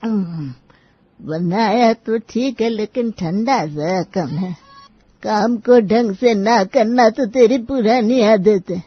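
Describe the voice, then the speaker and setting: A woman playing a stern, sharp-tongued old village grandmother-in-law who scolds and commands in a cartoon story. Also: medium pitch, takes it slowly, breathy edge, dry recording, lo-fi sound. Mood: stern, demanding. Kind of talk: acted dialogue in a cartoon moral story.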